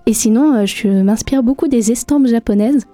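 A young woman from France, a guest on a local radio show, answering questions hesitantly with many pauses and fillers. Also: on the high side, mellow, no echo, faint music behind. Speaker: guest artist.

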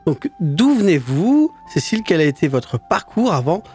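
A man's voice: fairly high pitch, sing-song, normal speed, a little mellow, soft background music. A man co-hosting a local radio show, asking a guest friendly, wordy questions. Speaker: radio co-host and technician.

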